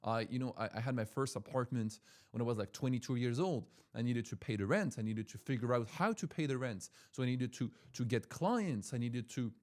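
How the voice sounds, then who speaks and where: A man's voice: mid-range voice, brisk, darkish tone. A young man from Switzerland, a language-school owner, talking casually and motivationally to his viewers, full of fillers and repeated words. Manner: chatty, repeats himself, heavy use of "like" and "right?". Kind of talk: casual motivational talk to camera.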